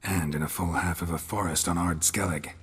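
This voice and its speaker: An actor playing a gruff, stoic, weathered monster hunter in a video game, laconic and deadpan, with a gravelly voice. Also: male voice, low voice, brisk, long reverb tail, heavily acted. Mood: calm, serious. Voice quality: gravelly, husky. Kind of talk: video game dialogue acting.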